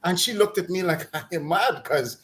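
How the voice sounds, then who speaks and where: Guyanese guy venting, fairly high voice, scoffing and laughing as he goes. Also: expressive, moderate pace, fairly bright tone. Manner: exasperated, mocking, laughs while ranting. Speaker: commentator on a talk program. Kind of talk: casual opinionated chat.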